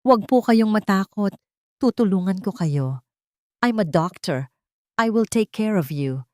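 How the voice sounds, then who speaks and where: A woman's voice: mid-range voice, expressive, measured pace, a little mellow, no room sound, clean studio sound, lightly acted. Woman voicing a caring, worried young Filipina doctor. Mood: reassuring.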